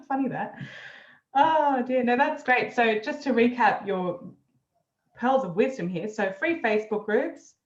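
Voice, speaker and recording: A woman's voice: a little high, lively intonation, unhurried. A woman hosting an interview in a friendly, easygoing way, laughing often and recapping her guest's points. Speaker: interviewer host. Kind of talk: casual interview chat. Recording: roomy, dull lo-fi sound.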